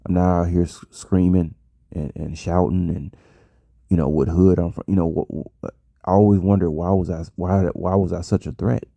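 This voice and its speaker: American man, very low pitch, very dark mellow tone, casual vlogger monologue, rambling, repetitive phrasing. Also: vocal fry, dry recording, lo-fi sound. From United States. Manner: rambling, repeats phrases for emphasis. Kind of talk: casual personal monologue to an audience.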